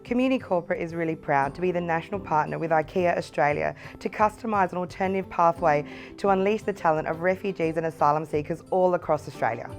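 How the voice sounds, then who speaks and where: A woman's voice: lowish voice, fast talker, faint music behind. A woman representing a partner organisation, speaking in a formal, promotional corporate interview.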